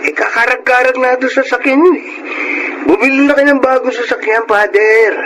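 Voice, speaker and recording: High-pitched young filipino tough with a soft heart, blunt, radio drama, noisy recording. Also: male voice, fairly quick. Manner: blunt, colloquial. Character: cheeky, rough-edged young man softening into earnestness.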